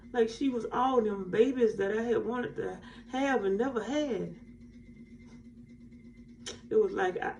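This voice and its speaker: A woman's voice: medium pitch, dark tone, lightly acted. A woman performing a stage monologue as a weary, candid wife and mother, earnest and reflective, with folksy phrasing. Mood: tender, determined. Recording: muffled, distant mic, faint noise.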